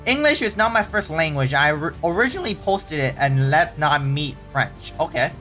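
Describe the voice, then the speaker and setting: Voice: medium pitch, lively intonation, moderate pace, a bit bright, soft background music. A casual host reading online horror stories aloud, often stumbling and correcting the wording, with startled, exclaiming reactions in between.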